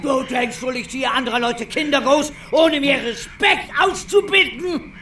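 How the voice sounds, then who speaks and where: An actor playing a wheedling, spiteful older scoundrel in a radio drama, theatrical, sly and self-righteous. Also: male voice, extremely high pitch, unhurried, pushed and bright, shouting, a little hiss or hum, exaggerated voice. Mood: indignant, bullying.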